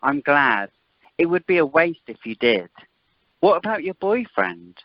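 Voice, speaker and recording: A man playing an earnest, gallant fellow, quick to protest, in an amateur radio play over the phone. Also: a little high, sing-song, no echo. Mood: curious, surprised. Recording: muffled telephone conference line.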